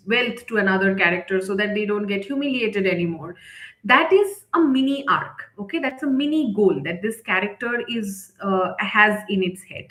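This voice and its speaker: A woman's voice: medium pitch, a bit bright. A woman presenting an instructional live session, explaining step by step in a conversational way and often checking in with short tag questions. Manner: conversational, often tags sentences with "right?" or "okay?". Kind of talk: instructional webinar talk, explanatory. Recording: echoey, roomy sound.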